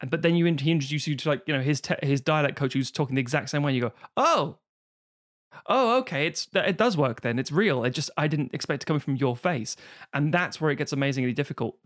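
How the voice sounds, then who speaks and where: A man's voice: on the high side, fast talker. A man co-hosting a podcast, ranting in casual chat and swearing freely. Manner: swears freely, animated rants. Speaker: podcast co-host.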